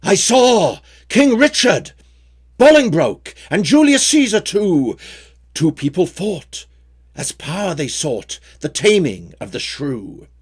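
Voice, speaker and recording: A man reading his own story poems in a measured, theatrical way with a rhyming cadence. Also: high voice, very animated, breathy edge. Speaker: poet reading own work. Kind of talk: dramatic poetry recitation.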